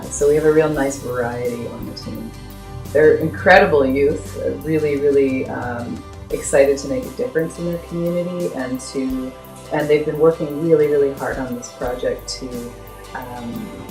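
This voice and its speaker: A woman's voice: extremely low pitch, creaky, darkish tone. A woman speaking earnestly and emphatically as a project coordinator in an interview. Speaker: youth arts project coordinator. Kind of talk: interview to camera. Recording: roomy, faint music behind. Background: music.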